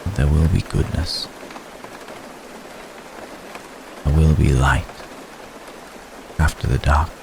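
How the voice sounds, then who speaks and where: A man playing a centuries-old vampire gentleman in an intimate ASMR roleplay, tender, protective and world-weary, speaking formally and gently with long pauses. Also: extremely low pitch, gravelly, full character voice. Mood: soothing. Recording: steady rain noise behind voice. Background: rain falling.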